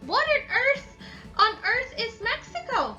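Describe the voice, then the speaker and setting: A woman's voice: very high voice, very animated, slow, sharp tone, soft background music, pitched above the performer's own voice, full character voice, rough recording. A woman voicing a puzzled, incredulous grasshopper in a storybook, exclaiming and questioning in disbelief.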